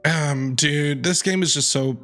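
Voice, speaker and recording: A man streaming a video game, reacting excitedly in casual commentary and talking back to the characters. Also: fairly high pitch, unhurried. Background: game music.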